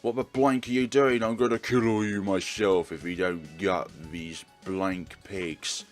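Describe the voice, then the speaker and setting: A man acts out a furious, menacing criminal boss in a visual novel. The voice is on the low side and stretches out threats with snarling emphasis, over faint music. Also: steady tone, unhurried.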